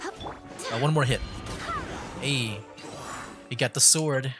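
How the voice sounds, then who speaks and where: A man's voice: mid-range voice, takes it slowly, fairly bright tone, soft background music. A man giving casual, amused commentary while streaming a video game. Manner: chatty, amused.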